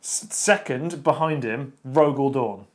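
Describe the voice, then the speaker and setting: Man, medium-pitched voice, casual chat, opinionated, emphatic delivery. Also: unhurried. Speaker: discussion show panelist. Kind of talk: casual chat, book discussion.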